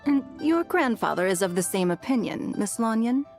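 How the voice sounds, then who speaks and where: A woman playing a calm, courteous, assured official in a video game. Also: fairly high pitch, normal speed, soft background music. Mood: calm, reassuring. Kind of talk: English video game voice acting.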